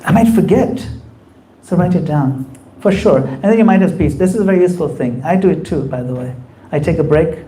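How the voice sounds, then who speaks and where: A man's voice: fairly high pitch, animated, fairly quick, warm tone, a little hiss or hum. A man giving a conversational temple class, acting out quoted voices as he explains. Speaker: spiritual teacher. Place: temple hall.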